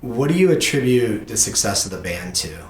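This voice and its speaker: A man's voice: lowish voice, big pitch swings, normal speed. A middle-aged man hosting a casual conversational interview, speaking in an earnest, reflective way. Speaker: podcast host interviewing own teenage child. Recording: roomy echo, background noise.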